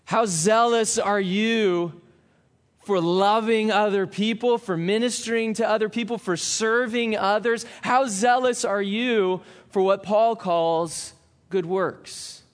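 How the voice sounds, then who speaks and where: Guy pastor preaching, kinda high voice, reads scripture slow then chats with the congregation. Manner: slows down for scripture reading, conversational asides. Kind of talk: sermon.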